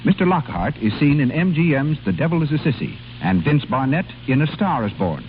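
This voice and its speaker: A man's voice: a little high, sing-song, a little fast. An American man reading scripted announcements as a 1930s radio announcer. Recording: tinny 1930s radio recording, faint hum.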